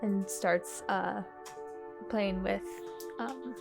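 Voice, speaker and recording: A woman's voice: mid-range voice, drawn-out words, gravelly, over music. A woman playing a tabletop roleplaying game, chatting casually in and out of her character.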